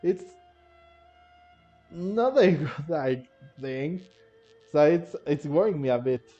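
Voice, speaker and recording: A man giving casual game playthrough commentary in a halting, repetitive, giggly way, on a lo-fi mic. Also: fairly high pitch, expressive, warm tone. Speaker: gaming video host. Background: faint game music.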